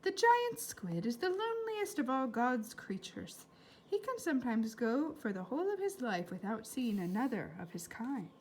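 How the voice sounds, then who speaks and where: A woman voicing a melancholy, philosophical older woman doctor in a storybook, with deliberate, dramatic phrasing. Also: a little high, big pitch swings, slight background noise. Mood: mournful.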